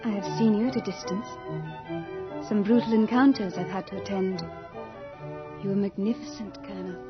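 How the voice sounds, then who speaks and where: An actress playing Death as a lovely, shy young girl in an old-time radio drama, sweetly polite and breathy. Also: medium pitch, steady tone, moderate pace, natural voice. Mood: shy, charmed. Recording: dull old radio recording, faint music.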